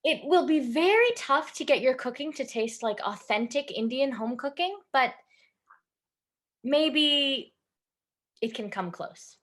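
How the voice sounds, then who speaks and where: A woman's voice: high-pitched, animated, a bit bright. A woman playing a stern, traditional middle-aged Indian mother in a staged reading, speaking firmly and instructively. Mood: brisk, instructive. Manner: firm, halting, instructive. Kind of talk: staged reading over video call.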